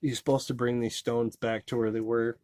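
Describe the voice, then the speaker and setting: A man talks through a movie review in a loose, rambling way, leaning on fillers and restarting his sentences. His voice sits at an ordinary pitch. The recording is dry and close with no room echo. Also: flat delivery, fairly quick, darkish tone. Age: adult. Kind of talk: casual spoken review.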